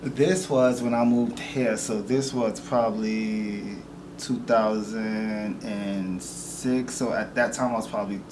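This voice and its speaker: A man's voice: fairly low pitch, slow, distant mic. A man in his forties, born in Venezuela, answering interview questions in an easygoing way and dropping in short "yeah"s. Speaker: podcast guest, entertainment reporter. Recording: echoey room, off-mic, steady background noise.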